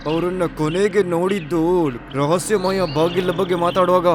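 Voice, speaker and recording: Casting: an anxious, earnest cartoon animal of the forest, high register, over prominent music. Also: male voice, steady tone, unhurried. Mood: earnest, worried. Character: worried earnest talking forest animal reporting to his king. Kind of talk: cartoon story voice acting.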